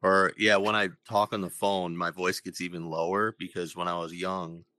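A man in his twenties who hosts a podcast, with a deep radio voice, chatting casually and laughing often. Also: level tone, normal speed, pushed and bright, no echo. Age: 20s. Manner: joking, laughs often between phrases. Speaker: podcast host. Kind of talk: casual chat.

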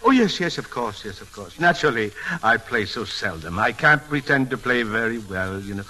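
An actor playing a sly old deacon who feigns shy innocence with flowery, fussy, mock-humble talk in 1940s radio comedy style. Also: male voice, mid-range voice, sing-song, normal speed, bright, forceful tone, low-quality recording. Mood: innocent, fussy.

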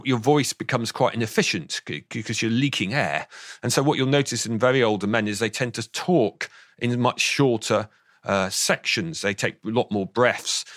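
A man in his fifties with a slightly croaky voice, explaining thoughtfully as an interview guest, often restarting his sentences. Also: medium pitch, fairly bright tone, no echo, studio quality. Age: 50s. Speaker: author and guest. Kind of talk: interview on voice science.

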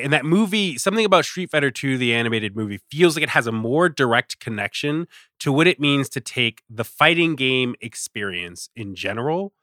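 A man's voice: medium pitch, bright, forceful tone, dry recording, studio quality. A man giving his opinions as a podcast guest, emphatic and thinking aloud in casual discussion. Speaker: podcast guest, culture writer.

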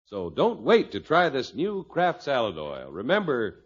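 A male radio announcer giving an emphatic, old-time advertising pitch. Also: huge pitch swings, measured pace, slightly rough. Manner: emphatic, selling. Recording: muffled vintage radio recording.

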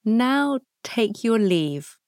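A woman hosting a podcast, leading listeners through a guided meditation with soft prompts and long pauses. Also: a little high, big pitch swings, vocal fry, no echo, studio quality. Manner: slow, soft, pausing between prompts. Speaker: podcast host guiding a practice.

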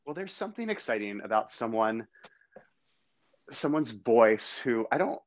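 A man chatting as a podcast guest, animated and gushing as he tells stories. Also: mid-range voice, a little slow, no room sound. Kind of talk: casual podcast chat.

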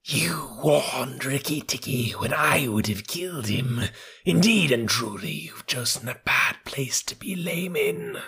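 A man voicing a cold, vengeful female cobra in a slow, hissing whisper. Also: medium pitch, wildly swooping pitch, pushed and bright, slightly rough, voice pushed up, exaggerated voice. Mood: menacing. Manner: slow, drawn-out threats.

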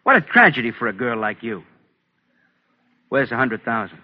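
A sarcastic threatening detective, old radio drama, average pitch, dull lo-fi sound. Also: male voice, big pitch swings. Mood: mock sympathy, menace. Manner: mock-sympathetic, deliberate, dry. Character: sardonic, menacing detective needling a suspect. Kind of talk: 1950s radio crime drama acting.

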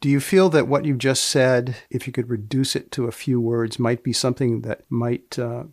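A man hosting a podcast with deliberate, measured phrasing, moving between scripted narration and interview questions. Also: medium pitch, fairly even intonation, a little mellow, no echo, clean studio sound. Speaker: podcast host.

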